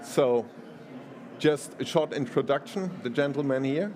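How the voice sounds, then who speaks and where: German man presenting, hesitant and halting. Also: medium pitch, a little mellow. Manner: hesitant, many fillers and restarts, laughs easily. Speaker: conference speaker. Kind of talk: conference presentation.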